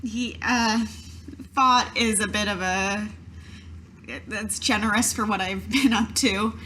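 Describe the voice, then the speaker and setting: A woman's voice: mid-range voice, fairly even intonation, slow pace, bright, forceful tone, slight background noise. A woman playing a tabletop roleplaying game, talking casually as player and character.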